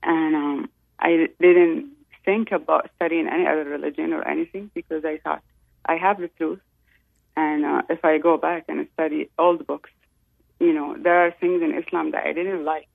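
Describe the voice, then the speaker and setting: A woman from Saudi Arabia tells her story as a guest, calling in over a tinny phone line. Her voice has a gravelly fry, and her low-key delivery sits close and dry on the line. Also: fairly even intonation, moderate pace, no echo. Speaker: call-in guest. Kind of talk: personal testimony.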